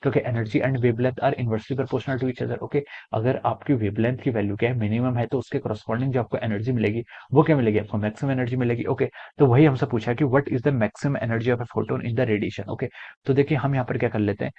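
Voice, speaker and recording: A man's voice: mid-range voice, steady tone, brisk, breathy edge, dull audio. A man with an Indian accent tutoring students step by step, switching between Hindi and English and often asking questions he then answers himself. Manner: repetitive, frequently asking and answering own questions. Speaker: physics tutor. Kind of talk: step-by-step problem-solving lesson addressed to students.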